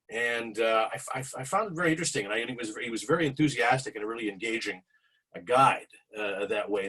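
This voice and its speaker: Husky man, rambling. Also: medium pitch, a bit bright, rough recording. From Canada. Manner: rambling, many restarts and fillers. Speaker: guest speaker. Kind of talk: casual storytelling on a video call. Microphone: video call.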